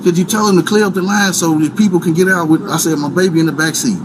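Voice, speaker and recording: A man's voice: high-pitched, fairly even intonation, fast, background noise. A man in his forties talking heatedly with police outdoors, rambling and restarting as he explains himself in slang. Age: 40s.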